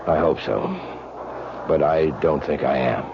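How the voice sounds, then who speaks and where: An earnest, persistent police lieutenant with a deep voice. He confides urgently, in the manner of radio mystery drama. The old recording is muffled and noisy. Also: unhurried, gravelly, heavily acted.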